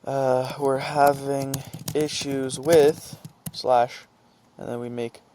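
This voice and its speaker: A man presenting an informal screen-share tutorial, talking haltingly as he thinks aloud and reads out what he types. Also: medium pitch, flat delivery, warm tone, a little hiss or hum. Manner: halting, thinking aloud while typing and reading out script.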